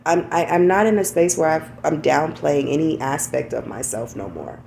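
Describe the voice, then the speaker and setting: Low, gravelly woman speaking candidly. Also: expressive, moderate pace, darkish tone, slight background noise. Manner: candid, repeats "you know what I'm saying". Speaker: podcast host. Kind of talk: reflective personal monologue.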